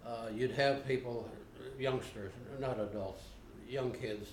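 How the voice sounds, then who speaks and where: Older man, interviewee; plainspoken and unhurried, recorded on a roomy camera mic. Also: mid-range voice, fairly even intonation, a little mellow, slight background noise. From United States. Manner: unhurried, plainspoken, frequent uh pauses. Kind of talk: unscripted recollection. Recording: roomy home video recording.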